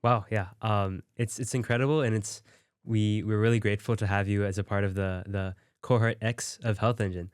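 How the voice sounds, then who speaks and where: A man's voice: on the low side, fairly even intonation, fairly quick, smooth, no echo, studio quality. A young man hosting an interview, friendly and enthusiastic, with lots of "um"s and restarts. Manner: friendly, filler-heavy, enthusiastic. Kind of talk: casual interview.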